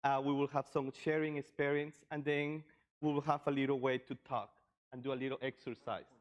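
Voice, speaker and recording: A man from Costa Rica presents to a group in an informal style. His voice sits at an average pitch, and his phrasing is loose and a little hesitant as he explains things. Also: level tone, brisk, muffled recording. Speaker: session presenter, migration researcher.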